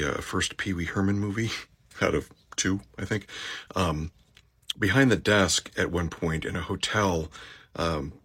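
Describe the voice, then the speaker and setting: A man who narrates audiobooks, talking casually as he explains his voice acting work, with a gravelly, husky voice. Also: deep voice, a bit bright.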